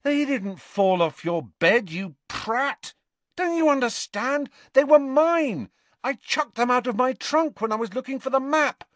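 A man's voice: on the high side, big pitch swings, a little slow, hardly any pauses, breathy edge, no room sound, pitched above the performer's own voice, full character voice. A man voicing a sensible teenage wizard boy in an audiobook, bewildered, impatient and incredulous. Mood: exasperated. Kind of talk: audiobook character voice.

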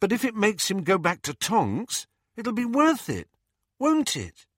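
A man's voice: medium pitch, sing-song, a little slow, no room sound, clean studio sound, voice pushed up, heavily acted. A man voicing a furious, scornful teenage boy. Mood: pleading. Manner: sharp, cutting, scornful. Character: angry, bitter teenage boy.

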